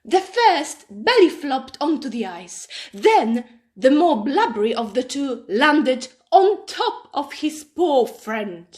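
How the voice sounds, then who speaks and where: A woman reading a children's story aloud in an expressive, dramatic storytelling style. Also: high-pitched, slow pace.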